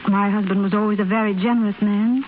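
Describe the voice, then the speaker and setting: An actress playing a refined, composed young widow in a 1930s radio drama, speaking politely and with measured phrasing. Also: female voice, mid-range voice, fairly even intonation, phone-quality sound, close to an everyday voice. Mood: composed, gracious. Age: young adult. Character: gracious, composed young widow, quietly grieving. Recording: scratchy, thin 1930s radio broadcast recording.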